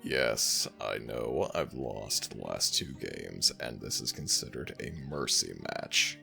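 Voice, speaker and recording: A man voicing an ancient jackal god in an audio roleplay, gentle, teasing and fond toward the listener. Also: expressive, soft background music, full character voice. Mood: playful warm. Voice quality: very deep gravelly voice, slow and soothing. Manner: affectionate, playfully self-mocking.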